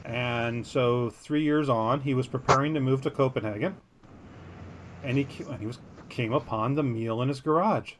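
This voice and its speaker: A man's voice: medium pitch, normal speed, slight background noise. Man reading a story, jokey asides. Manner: jokey, easily sidetracked, self-deprecating. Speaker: podcast co-host. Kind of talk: reading a news story aloud with casual asides.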